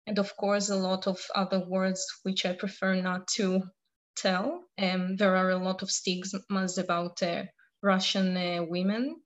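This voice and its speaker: A young woman from Israel answering interview questions, hesitant and pausing often with fillers. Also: medium pitch, level tone, highs cut off. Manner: hesitant, many pauses and fillers.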